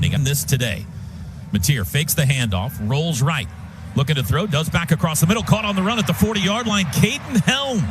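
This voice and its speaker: A man calling live football on the radio as play-by-play announcer, in clipped short phrases with excitable shouts on big plays. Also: fairly high pitch, noisy background. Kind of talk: live sports play-by-play commentary. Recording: loud music bed under radio broadcast.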